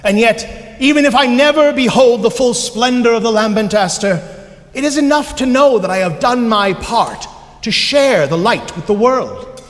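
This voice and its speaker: Man playing a high, lilting, courtly night spirit. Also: animated, normal speed. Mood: earnest, reverent. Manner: formal, lofty, theatrical phrasing. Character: gentle, courtly night spirit tending a magical garden. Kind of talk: musical theatre staged reading. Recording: echoey hall with music behind.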